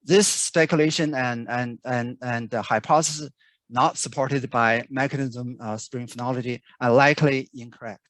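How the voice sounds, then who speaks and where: Halting man giving a research talk. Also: mid-range voice, steady tone, a bit bright, dry recording. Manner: halting, many fillers and restarts. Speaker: researcher presenting. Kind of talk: conference talk. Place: remote video call.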